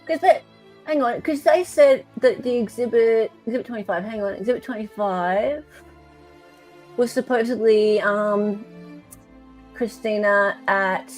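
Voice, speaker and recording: A woman hosting casual commentary in an animated, blunt way. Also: fairly high pitch, measured pace, soft background music, rough recording. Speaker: host.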